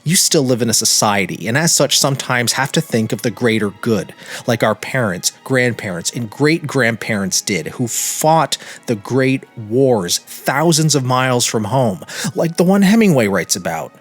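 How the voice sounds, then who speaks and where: An American man hosting a podcast, speaking in an earnest, emphatic way with deliberate phrasing. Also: mid-range voice, lively intonation. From United States. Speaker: podcast host and narrator. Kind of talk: podcast introduction and personal commentary. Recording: music behind the voice. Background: background music.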